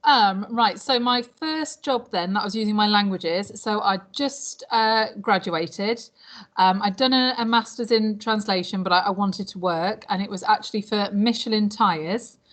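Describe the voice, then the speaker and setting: Woman, late thirties, British accent, medium pitch, informal interview, chatty, hesitant, explanatory. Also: measured pace. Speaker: language teacher, interviewee. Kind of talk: informal interview answers about past jobs.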